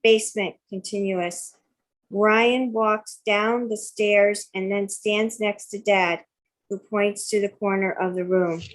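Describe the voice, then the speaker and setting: A woman's voice: mid-range voice, fairly even intonation, takes it slowly, poor audio. An older woman reading screen directions aloud for a live script table read.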